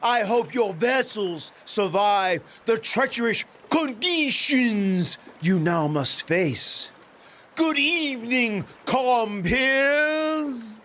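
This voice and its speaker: A man's voice: very high-pitched, expressive, very slow, a bit bright, exaggerated voice. An actor playing an eccentric mystical ferryman in a video game, speaking in flowery, grandiose, theatrical lines. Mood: solemn, theatrical.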